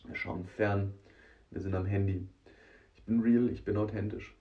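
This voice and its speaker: A man's voice: on the low side, steady tone, normal speed, recorded from a distance. Male podcast host with a cold, scratchy and nasal, chatty to the listener, recorded a bit off-mic and lo-fi. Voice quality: rough, scratchy voice. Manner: chatty, addresses listener directly, frequent "ne?". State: hoarse and congested from a cold. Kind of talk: casual solo podcast monologue.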